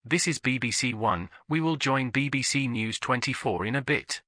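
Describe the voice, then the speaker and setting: A man delivers scripted emergency bulletins as a television continuity announcer. His voice is bright and forceful at a middle pitch, with a formal, solemn delivery. The sound is close and dry. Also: animated, normal speed, no echo.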